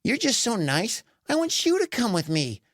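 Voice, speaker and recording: A woman's voice: fairly high pitch, wildly swooping pitch, normal speed, no echo, studio quality, pitched above the performer's own voice. A woman voicing a friendly, kind schoolgirl in a children's story, earnest and chatty. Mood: eager. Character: friendly, kind schoolgirl who loves her toys. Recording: music behind the voice.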